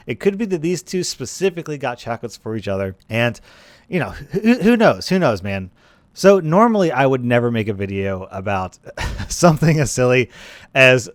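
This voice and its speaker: Guy hosting a gaming video, chatty and emphatic, average pitch, dry close recording. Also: no echo. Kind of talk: casual commentary.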